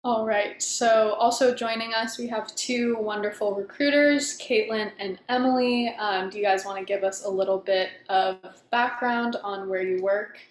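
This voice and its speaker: A woman's voice: mid-range voice, steady tone, normal speed, few pauses. A young woman in her twenties, a senior student moderating a career panel in an upbeat, casual way. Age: 20s. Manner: upbeat, casual, filler ums. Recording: echoey room, faint noise.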